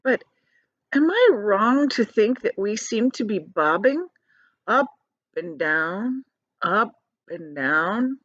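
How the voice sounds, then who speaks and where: Woman doing a polite, fretful, animated ladybug. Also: medium pitch, slow pace, long pauses, no room sound, highs cut off. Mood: shaken, polite. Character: polite, anxious female ladybug.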